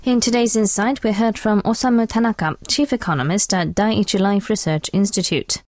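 A woman's voice: mid-range voice, moderate pace, darkish tone, no room sound, clean studio sound. A woman presenting scripted international news on the radio.

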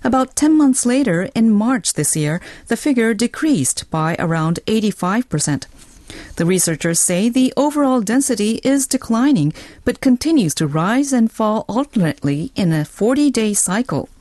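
A woman's voice: on the high side, expressive, normal speed, darkish tone, dry recording, studio quality. A woman working as a news reader, delivering a scripted radio bulletin.

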